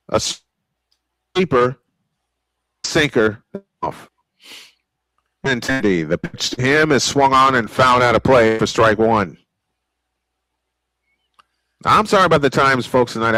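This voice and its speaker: A man's voice: medium pitch, bright, forceful tone, no echo, poor audio. Man calling baseball play-by-play, dry close sound. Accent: American. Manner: old-school broadcast delivery. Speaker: play-by-play sportscaster. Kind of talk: live baseball commentary with casual asides. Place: small home-style studio.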